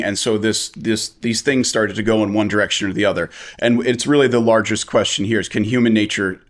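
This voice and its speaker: Interview guest: American man, low-pitched, fast, blunt and impassioned. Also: fairly bright tone. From United States. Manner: passionate, blunt, rapid with restarts. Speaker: interview guest, veteran and media maker. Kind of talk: candid interview answers.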